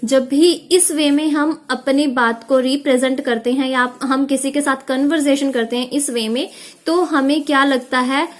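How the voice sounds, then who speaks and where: High-voiced woman teaching an exam lesson. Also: steady tone. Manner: explains in mixed Hindi and English, reads out English tips slowly. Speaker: IELTS teacher. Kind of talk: video lesson on speaking.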